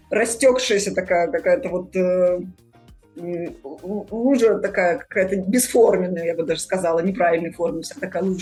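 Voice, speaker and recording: A woman's voice: lowish voice, a little mellow. A woman hosting a conversational podcast, explaining things and addressing her listeners directly. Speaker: podcast host.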